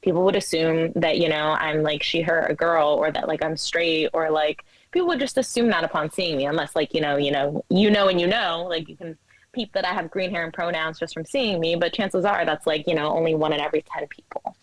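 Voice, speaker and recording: A woman in her twenties speaks in a somewhat low register, recorded dry with very little room sound. She talks like a guest in a casual interview, candid and halting, circling through filler words as she explains herself. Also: no echo, low-quality recording. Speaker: interview guest, coffee shop manager. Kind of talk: casual conversational interview talk.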